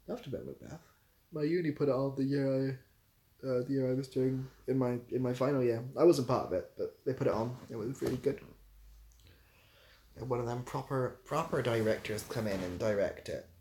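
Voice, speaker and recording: A man's voice: medium pitch, expressive, moderate pace, pauses often, a little mellow, a little hiss or hum. A young British man chatting casually on a livestream, rambling a little and dryly playful as he responds to viewers. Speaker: live streamer. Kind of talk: casual livestream chat, answering viewer comments.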